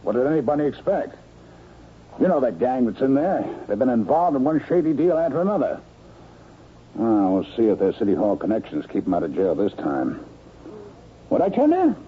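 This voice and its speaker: An actor in a radio drama playing a bossy, brusque company boss who hectors, interrupts and justifies himself. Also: male voice, medium pitch, huge pitch swings, moderate pace, long pauses. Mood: smug, blustering. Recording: tinny, hissy old radio broadcast recording.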